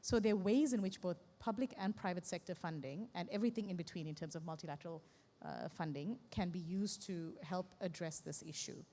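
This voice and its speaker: A woman from Southern Africa speaking as a conference panelist, explaining ideas in a measured, thinking-aloud way. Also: on the low side, mellow, in a large hall. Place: conference stage.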